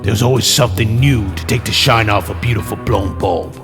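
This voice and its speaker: An actor playing a jaded hard-boiled detective narrating in a terse, cynical noir voice-over. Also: male voice, on the low side, a little fast, gravelly, music in the background. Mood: cynical, brooding.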